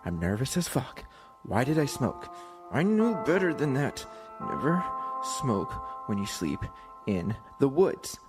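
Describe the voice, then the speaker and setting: A man's voice: medium pitch, sing-song, unhurried, loud music behind the voice. A man acting a panicky, stoned young camper who swears at himself in an audio drama. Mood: nervous, self-reproaching.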